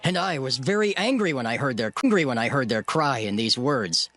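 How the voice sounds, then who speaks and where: A man narrating a dramatized King James Bible reading in a solemn, measured way. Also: medium pitch, animated, fairly bright tone. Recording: narration over clear music bed.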